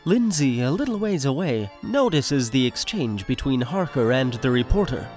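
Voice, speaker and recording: A man's voice: mid-range voice, very animated, normal speed, darkish tone. A man reading scripted stage directions as the narrator of an audio drama. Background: music.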